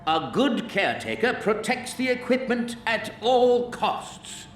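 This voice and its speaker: Slow, swooping, whimsical fatherly alien. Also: extremely high pitch, sing-song, full character voice. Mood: fond, whimsical. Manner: theatrical, fond, drawn-out delivery. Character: kindly, whimsical old alien father, creator of a robot. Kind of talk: video game cutscene acting. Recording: echoey, distant, loud music behind.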